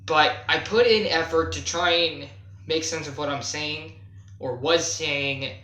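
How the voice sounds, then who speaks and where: A young man telling a casual, unscripted gaming story in a halting, self-deprecating way, stressing key words as he goes. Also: fairly high pitch, bright, forceful tone. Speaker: video creator telling a personal gaming story. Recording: roomy, echoey home recording.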